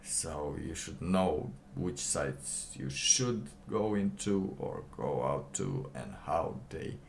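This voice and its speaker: A man with a low-pitched voice hosts a casual livestream, reading aloud and adding his own comments. He talks slowly and haltingly, rambling with pauses mid-sentence. Faint noise sits behind the voice. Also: sing-song, gravelly. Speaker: livestream host.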